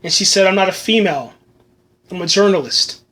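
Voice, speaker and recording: A high-voiced man is hosting a casual solo review podcast. He rambles with enthusiasm, piles on fillers and repeats himself, and his voice is going slightly hoarse. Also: brisk, a bit bright. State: losing his voice. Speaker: podcast host reviewing a film.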